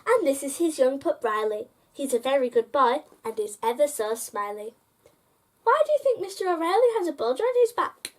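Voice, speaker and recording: Woman, high voice, children's storyteller, bedtime reading, speaks directly to young listeners. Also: big pitch swings, fairly quick. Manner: addresses child listeners with prompting questions. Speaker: host reading children's picture books aloud.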